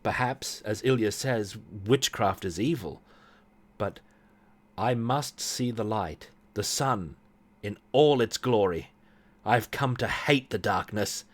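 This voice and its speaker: A man's voice: lowish voice, takes it slowly. A man voicing a fragile, yearning young witch from a gothic story, wistful and dramatic. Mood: brooding, yearning.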